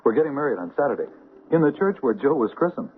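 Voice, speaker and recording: An actor playing a courteous, eager young groom-to-be and bank employee in a radio drama. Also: male voice, mid-range voice, sing-song, quick pace, phone-quality sound, slight background noise, natural voice. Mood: cheerful, courteous. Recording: band-limited old radio recording.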